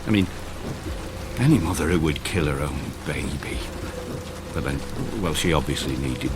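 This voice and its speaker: An actor playing a concerned, inquisitive small-town acquaintance in a radio drama, speaking in a halting, probing way. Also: male voice, rumbling low, noisy background. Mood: concerned, apologetic. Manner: halting, tactful but probing.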